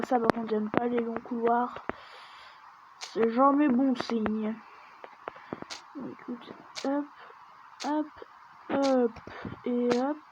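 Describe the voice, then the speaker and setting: A woman giving casual let's-play commentary, chatting and muttering to herself as she plays, often dropping to a near-whisper. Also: fairly high pitch. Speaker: gaming video creator. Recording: dull lo-fi mic with steady background noise.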